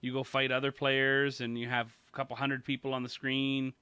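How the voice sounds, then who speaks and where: A man hosting a casual gaming news podcast, upbeat and quick to laugh, steering the talk along. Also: medium pitch, level tone, bright, forceful tone, clean tone, dry recording. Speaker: podcast host.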